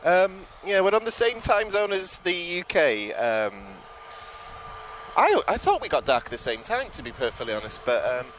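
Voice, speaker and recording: A man with a British accent giving relaxed live commentary outdoors at an airport, chatting to viewers with frequent ums and self-corrections. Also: high-pitched, moderate pace, slight background noise. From United Kingdom. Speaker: live plane-spotting commentator. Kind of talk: live-stream commentary, reading out viewer chat.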